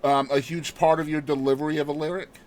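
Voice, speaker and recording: A man's voice: fairly high pitch, level tone, quick pace, darkish tone, a little hiss or hum. A man hosting a podcast interview, asking long, hesitant questions with enthusiasm. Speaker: podcast host.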